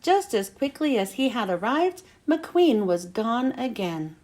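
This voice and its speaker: A woman reads a children's story aloud. Her voice is high and swings up and down a lot, with dramatic emphasis on the storytelling. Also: sing-song, slow, a little mellow. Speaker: children's book reader.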